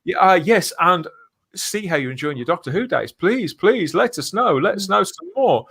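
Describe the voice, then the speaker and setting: A man hosting a live podcast, presenting in an excitable, showman-like way. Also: high-pitched, moderate pace, gravelly, breathy edge. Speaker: podcast host.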